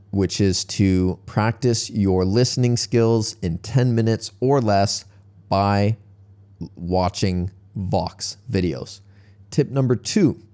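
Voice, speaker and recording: Young male English tutor, unhurried and mellow, relaxed step-by-step explainer. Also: lowish voice. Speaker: English teacher. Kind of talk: casual tutorial for learners.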